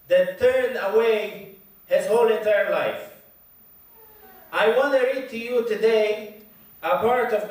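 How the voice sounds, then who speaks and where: A man from Egypt with an Egyptian Arabic accent, giving a lecture at a community event, chatty with the crowd and taking care to speak slowly. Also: sits high. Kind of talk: mosque lecture to an audience. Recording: very echoey hall, voice heard from far.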